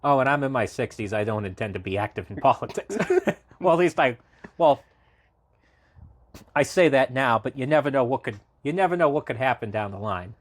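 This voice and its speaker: A man's voice: medium pitch, animated, fairly quick, dry recording. A man in his late twenties from Massachusetts, a guest in a casual podcast interview, talking at length with many fillers and restarts. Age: 20s. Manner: talkative, rambling, frequent fillers and restarts. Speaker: podcast guest, local party committee chair.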